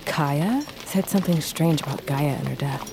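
An actress playing a fierce, embittered and defiant young huntress princess in a fantasy audio drama, speaking with intense, archaic phrasing. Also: female voice, low-pitched, normal speed, background noise. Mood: wary.

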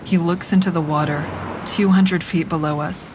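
Over clear rumbling traffic noise, an actress plays a hard-edged woman narrating her own drama. Her voice is on the low side, and her delivery is wry, frank and deliberate, in clipped sentences. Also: fairly even intonation, close to an everyday voice. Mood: pained restraint. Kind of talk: scripted first-person dramatic narration.

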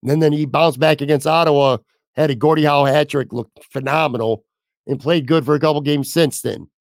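A hoarse man, slightly high-pitched for a man, running a solo sports talk show. He talks bluntly and casually and lets a curse slip now and then. The sound is dry and close, with no room echo. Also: steady tone, brisk. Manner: candid, blunt, casual with mild swearing. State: losing his voice, hoarse. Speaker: podcast host.

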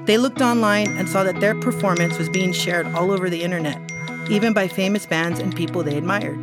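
A woman narrating a scripted children's podcast story with animated, emphatic, expressive phrasing. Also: lowish voice, fairly quick. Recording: music behind the voice.

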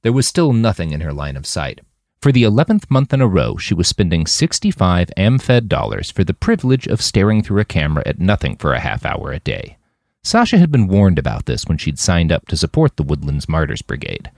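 A man reading scripted fiction narration in an expressive, dramatic storytelling manner. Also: sits low, fairly quick, creaky, no echo, clean studio sound. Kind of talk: scripted audiobook-style narration of a dystopian war novel.

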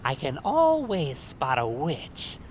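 An actor playing a teasing, cynical joker ghost who drawls out jokey taunts. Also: male voice, on the high side, wildly swooping pitch, slight background noise, full character voice. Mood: teasing, ominous. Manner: drawn-out jokey taunts, cackles at own gags.

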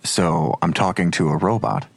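A man's voice: low-pitched, moderate pace, vocal fry, husky, no room sound, exaggerated voice. An actor playing a skeptical police detective in an audio drama, terse and probing in his questions. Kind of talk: naturalistic audio drama dialogue.

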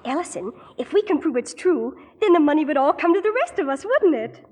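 An actress playing an excitable, spiteful young small-town woman in a 1940s radio drama, gossipy, breathless and eager. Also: high-pitched, fairly quick. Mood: eager, accusing. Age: young adult. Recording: 1940s radio recording, reverberant studio.

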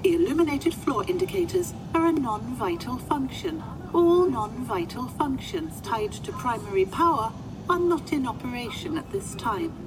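Polite literal spaceship computer, very high voice, ambient noise behind it. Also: female voice, animated, moderate pace. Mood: polite, helpful. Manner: formal, procedural, unflappable. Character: polite, literal-minded female medical ward computer assistant. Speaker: actor in a fiction podcast. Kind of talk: audio drama acting. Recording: clear background ambient noise.

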